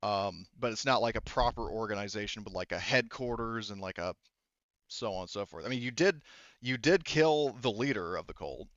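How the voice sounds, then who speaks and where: A man running a tabletop game as game master, explaining things casually in a halting, repetitive way. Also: lowish voice, lively intonation, a bit bright, no room sound, dull audio. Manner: halting, explanatory, repeats himself.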